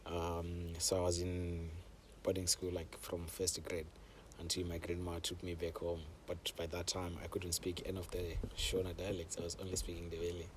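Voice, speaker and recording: A young man from Zimbabwe, a safari camp host, telling his story in a relaxed way with frequent fillers, interviewed outdoors on a camp deck. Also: low-pitched, moderate pace, a little hiss or hum. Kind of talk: conversational interview.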